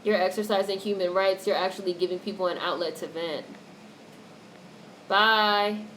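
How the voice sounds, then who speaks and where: A woman's voice: medium pitch, steady tone, moderate pace, a bit bright. A woman hosting a casual livestream, reading out and answering chat comments in a blunt, opinionated way with free swearing. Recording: noisy livestream audio with background noise.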